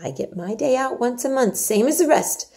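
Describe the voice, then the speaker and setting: Storybook housemaid, voiced by a woman reader: young, hearty, blunt, high and animated. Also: normal speed, gravelly. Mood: stoutly scolding. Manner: blunt, emphatic, exclaiming. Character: hearty, outspoken young Yorkshire housemaid with motherly scolding. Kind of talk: storybook dialogue read aloud.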